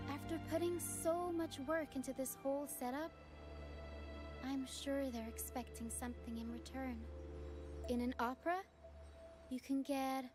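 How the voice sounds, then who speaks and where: An actress playing a curious, expressive young adventurer girl in a video game. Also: high-pitched. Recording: game music under the voice.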